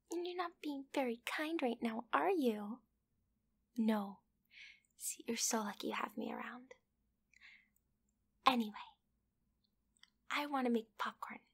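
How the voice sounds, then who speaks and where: A woman voicing a bratty, clingy, possessive young girlfriend in an ASMR roleplay, pouty and demanding, with a breathy, often whispery delivery. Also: on the high side, heavily acted. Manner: pouty, demanding, halting, with sighs. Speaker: voice actress in a roleplay.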